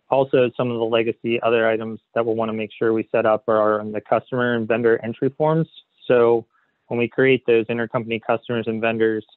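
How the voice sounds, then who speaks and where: A man presenting a software webinar as a consultant, explaining slides in a hesitant way padded with "you know". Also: lowish voice. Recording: phone-like narrow band, roomy echo.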